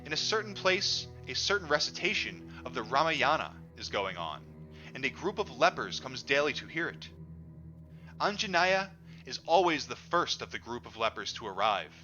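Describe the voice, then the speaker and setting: A man's voice: mid-range voice, sharp tone. A man voicing a friendly, obliging tree spirit in a storybook tale, eager to help. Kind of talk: acted audiobook dialogue. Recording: dull lo-fi audio, music underneath.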